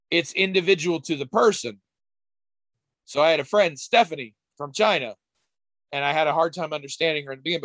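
Candid rambling podcast host, dry sound. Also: male voice, a little high, a bit bright, no room sound. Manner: rambling, candid, swears casually. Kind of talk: casual chat.

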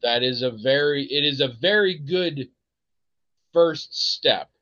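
A man's voice: mid-range voice, slow, sharp tone, dry recording, lo-fi sound. A man with an American accent hosting a podcast, chatting in a rambling, hesitant way with many fillers and restarts. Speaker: podcast host. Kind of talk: casual chat.

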